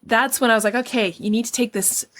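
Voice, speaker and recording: A woman's voice: a little high, fairly even intonation, fast, fairly bright tone. A woman hosting an interview, speaking earnestly and conversationally about her own career. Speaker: podcast host.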